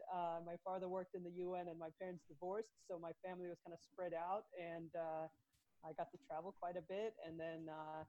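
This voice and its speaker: A woman's voice: lowish voice, highs cut off. A woman from Sweden, in her thirties, talking casually about her soccer career as a podcast guest and laughing easily. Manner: relaxed, laughs often, chatty with fillers. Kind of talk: casual interview chat.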